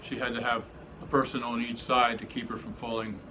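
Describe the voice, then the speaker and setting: A man speaking from across a hospital room, giving plain, flat remarks. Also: medium pitch, fairly quick. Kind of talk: casual remarks off camera. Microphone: away from the mic, off camera. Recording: distant voice in noisy room.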